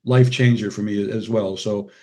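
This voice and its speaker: A man's voice: lowish voice, steady tone, moderate pace, dark tone. An older man with an American accent, a podcast guest reminiscing casually and often quoting people in short anecdotes. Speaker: podcast guest, retired radiologist and author.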